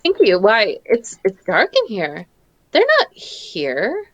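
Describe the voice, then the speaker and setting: A woman's voice: mid-range voice, huge pitch swings, slow, no echo, muffled recording, full character voice. A woman playing a nervous, polite, naive photographer with a hesitant stammer, in old-time radio drama style. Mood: uneasy. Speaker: amateur voice actor in live table read.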